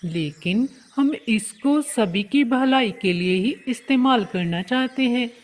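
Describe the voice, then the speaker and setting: A woman voicing a kind, helpful young male bird in a children's cartoon, talking in a gentle, earnest, explanatory way. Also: fairly high pitch, expressive, slow pace. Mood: earnest, explaining. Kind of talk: children's cartoon story dialogue.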